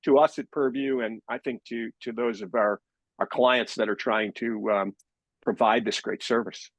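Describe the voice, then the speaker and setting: Brief: husky man, company director explaining rules on a webinar, talkative, stumbles on the first words of phrases, dry close sound. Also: medium pitch, no echo, poor audio. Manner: talkative, repeats words when starting phrases. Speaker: company director and guest expert.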